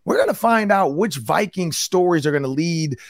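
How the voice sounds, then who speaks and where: A man hosting a sports podcast, talking in a loose, upbeat way with a hoarse voice. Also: fairly high pitch, expressive, normal speed, no room sound, studio quality. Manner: upbeat, loose, repeats words. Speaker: podcast host. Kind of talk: casual sports talk.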